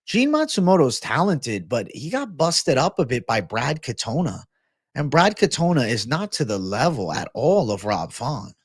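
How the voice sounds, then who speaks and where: Young adult male livestream host from Massachusetts, casual and slangy, mid-pitched voice on a dry close mic. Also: moderate pace, husky, no room sound. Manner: loose, animated, slangy, says bro. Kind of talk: casual livestream chat reading viewer comments.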